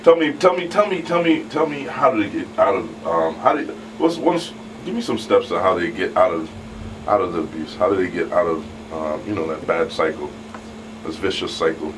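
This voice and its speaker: Man radio co-host, lowish voice, animated pitch, casual, repetitive interjections, noisy recording. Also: normal speed. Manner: interjects, repeats himself for emphasis. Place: radio studio. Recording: noisy room, distant from mic.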